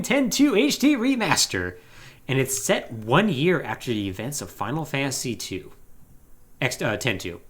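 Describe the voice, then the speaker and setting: Male podcast co-host, casual and rambling. Lively intonation, sharp bright tone, wry exasperated humor. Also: medium pitch. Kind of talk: casual podcast talk explaining game storylines.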